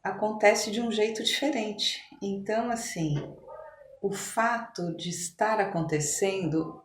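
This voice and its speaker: A woman with a Brazilian accent talking as an interview guest, halting and reflective, often rephrasing as she goes. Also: medium pitch. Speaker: podcast guest, physician. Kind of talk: conversational interview. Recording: echoey room with noticeable background noise.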